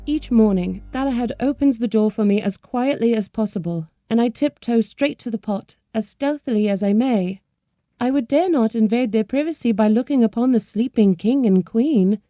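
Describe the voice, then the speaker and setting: Dark-toned, timid young chambermaid. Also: male voice, very high-pitched, normal speed, no echo, studio quality, pitched above the performer's own voice. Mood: earnest, apologetic. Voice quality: soft, meek. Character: timid, earnest young chambermaid addressing royalty. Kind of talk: dramatic fairy-tale audiobook acting.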